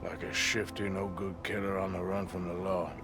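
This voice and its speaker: A man's voice: low-pitched, level tone, moderate pace, over music. Dry American outlaw gunman. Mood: dry, resigned. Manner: dry, blunt. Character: rugged outlaw gunman, loyal, dry and world-weary. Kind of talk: dramatic video game acting.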